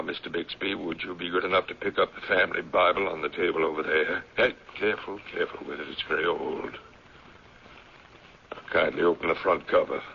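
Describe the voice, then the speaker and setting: An actor in an old-time radio drama playing a stern, commanding old captain who speaks gravely and deliberately. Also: male voice, deep voice, full character voice. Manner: grave, deliberate, ominous, scripture-quoting. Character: stern, commanding old patriarch, captain of a secluded mountain community. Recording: dull vintage radio broadcast.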